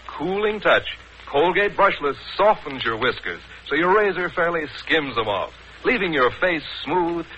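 A man's voice: fairly high pitch, pushed and bright, slight background noise. An American man reading a 1940s radio advertisement as a breezy, polished hard-selling announcer. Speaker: commercial announcer. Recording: dull 1940s radio recording.

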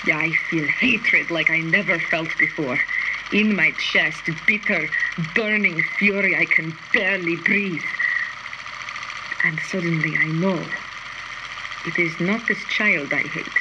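Brooding female scientist, tormented and bitter, dramatic audio-diary monologue under very loud noise. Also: fairly low pitch. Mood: bitter fury. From Belarus. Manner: brooding, deliberate. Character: bitter, tormented woman scientist, haunted former prison camp collaborator. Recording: very noisy, radio-like audio diary.

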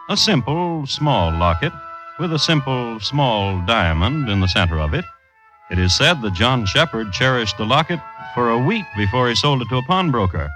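An actor playing a droll, scholarly crime storyteller, narrating formally and deadpan in 1950s radio style. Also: male voice, medium pitch, lightly acted, low-quality recording. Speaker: host and narrator.

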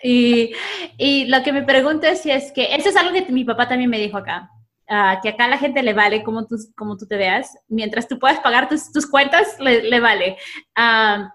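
A Peruvian woman with a slightly high voice hosts a conversation. She speaks in a casual, conversational way as she interviews her guest. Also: a bit bright. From Peru. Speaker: interviewer, host.

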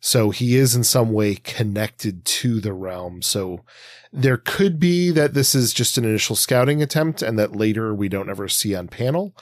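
A man's voice: lowish voice, lively intonation, normal speed, no echo. A man hosting a podcast, talking through comics analytically in long, winding, hesitant sentences as he thinks aloud. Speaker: podcast host. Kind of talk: casual analytical discussion of comics.

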